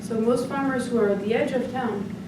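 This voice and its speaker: A woman's voice: on the high side, brisk. French-accented woman lecturing in an echoey hall. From France. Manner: thinking aloud with restarts and tag questions. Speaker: urban planning professor giving a talk. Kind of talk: public lecture. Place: senior center meeting room. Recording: very echoey room, far from mic, loud background noise.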